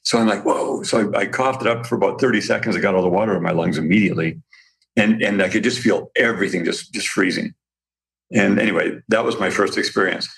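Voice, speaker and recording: Brief: male podcast guest, deep and gravelly, a bit raspy, rambling storyteller. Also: fast, a bit bright, slight background noise, rough recording. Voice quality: slightly raspy. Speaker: guest, supplement business owner. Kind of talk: casual podcast conversation.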